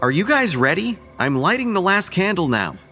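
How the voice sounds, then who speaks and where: A young man playing a bossy, practical college student in an audio horror drama, brisk and sardonic. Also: sits high, sing-song, over music. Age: young adult. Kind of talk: radio horror drama acting.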